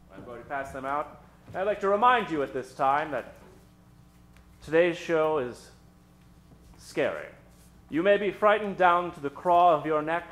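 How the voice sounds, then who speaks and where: A man hosting and narrating an old-time radio spoof on stage, with a theatrical, mock-ominous delivery full of dramatic pauses. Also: a little high, a bit bright, a little hiss or hum. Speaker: host and narrator of a radio show. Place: theater stage before an audience. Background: live keyboard music.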